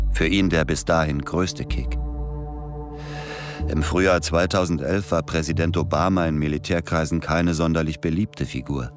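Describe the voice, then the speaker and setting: An actor playing a male American soldier narrating in a measured, matter-of-fact way in a radio drama. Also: extremely low pitch. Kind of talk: scripted first-person narration in a radio play.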